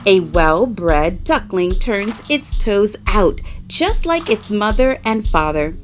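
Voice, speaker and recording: A woman voicing a proud, protective but tired mother duck in a children's story, with drawn-out, emphatic phrasing. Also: medium pitch, very animated, soft background music. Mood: encouraging. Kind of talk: children's storybook character reading.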